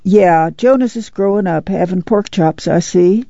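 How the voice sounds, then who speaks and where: Narrator as stout neighbor woman, chatty, folksy, mellow, audiobook character. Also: fairly low pitch, a little slow, no echo, lo-fi sound. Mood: neighborly. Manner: folksy, sociable rural talk.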